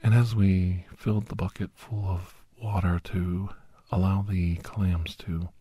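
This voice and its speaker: Man acting a whispering, husky, weary old soldier. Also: little pitch movement, dark tone, no echo. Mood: quiet, wistful. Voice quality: breathy, husky low voice. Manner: slow, halting, confessional, brooding. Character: weary, guilt-ridden older male doctor, armed veteran monster hunter. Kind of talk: whispered ASMR first-person audio story.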